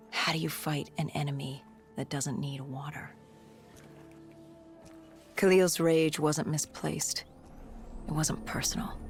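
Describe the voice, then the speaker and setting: An actor playing a grim, battle-worn cybernetic soldier, recounting humanity's fall in brooding, measured game narration with a gravelly edge. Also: mid-range voice, natural voice. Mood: weary, somber. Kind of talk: scripted dramatic game narration.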